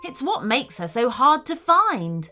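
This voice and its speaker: A woman voicing a regal, gentle Snow Queen in a children's story, speaking in a measured, courteous and proper way. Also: sits high, big pitch swings, faint music behind, full character voice. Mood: gracious.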